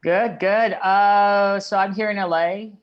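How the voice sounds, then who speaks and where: A man's voice: high voice, steady tone, slow, smooth, muffled recording. A man from the Philippines, co-moderating a live online event in an upbeat, affirming way. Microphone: video call.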